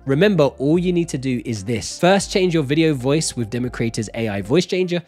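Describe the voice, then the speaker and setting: A man in his thirties hosting a scripted tutorial in an emphatic, animated presenter style, close to a desk microphone. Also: mid-range voice, brisk. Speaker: host of a software tutorial channel. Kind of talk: scripted upbeat video tutorial narration. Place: small home-style studio, indoors. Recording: background music. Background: music.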